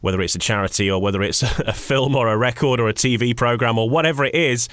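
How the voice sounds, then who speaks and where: A man's voice: mid-range voice, fast, a bit bright, no room sound. A man hosting a conversational radio interview, wording things in a rambling way and repeating words as he goes.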